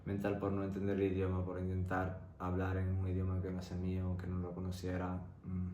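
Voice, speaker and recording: A young man telling a candid personal story in a reflective, halting way. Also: low-pitched, monotone, warm tone, highs cut off. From Venezuela-born, grew up in Tenerife, Spain. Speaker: vlogger. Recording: roomy echo, faint background noise.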